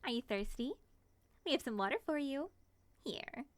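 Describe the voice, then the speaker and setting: A woman acts out a possessive but playful moth girl in an ASMR roleplay. Her voice sits a little high and moves in lively swoops, recorded dry and close. She coaxes and teases expressively. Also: moderate pace, no room sound. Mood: coaxing. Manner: expressive, coaxing, teasing. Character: playful, bubbly, possessive female moth-human monster.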